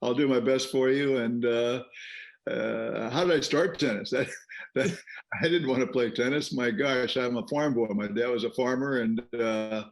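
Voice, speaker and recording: An older man, a longtime tennis coach, speaks as a guest in a podcast interview. He tells folksy stories in an easy, unhurried way at a middling pitch. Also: vocal fry. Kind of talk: casual interview, storytelling.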